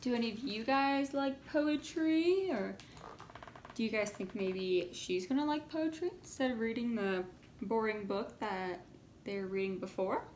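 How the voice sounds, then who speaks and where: A woman reading a children's book aloud in an expressive, emphatic way and talking to her listeners. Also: fairly high pitch, measured pace. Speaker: read-aloud reader addressing listeners. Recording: echoey room, faint background noise.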